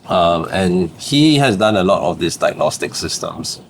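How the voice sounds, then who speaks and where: A man's voice: sits low, animated, measured pace, slight background noise. A young adult man from Singapore with a Singaporean accent, a startup founder chatting as a podcast guest, laughing often and dropping "lah" at the ends of phrases. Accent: Singaporean English. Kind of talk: casual interview storytelling.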